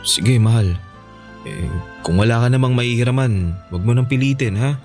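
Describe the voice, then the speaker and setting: A man acting as a gentle, devoted young husband in a Filipino radio drama, speaking in a soothing, coaxing way. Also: medium pitch, moderate pace, soft background music, close to an everyday voice. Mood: reassuring.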